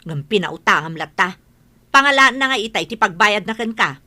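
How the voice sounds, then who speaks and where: A woman's voice: mid-range voice, lively intonation, moderate pace, pushed and bright. A woman playing an aggrieved village moneylender in an Ilocano radio comedy drama, scolding and emphatic, with an Ilocano accent. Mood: nagging.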